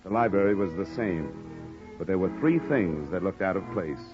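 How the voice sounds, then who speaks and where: An actor playing a sardonic, hard-boiled insurance investigator in an old-time radio noir drama. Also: male voice, low voice, animated, moderate pace, music in the background. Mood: wry, narrating. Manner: sardonic, dry. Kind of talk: 1940s-50s radio acting, noir narration. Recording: muffled old radio recording.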